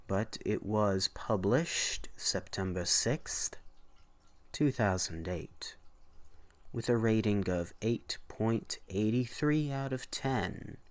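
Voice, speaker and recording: A man hosting and narrating horror story readings, with deliberate, halting phrasing that moves between casual introduction and dramatic reading. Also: fairly low pitch, expressive.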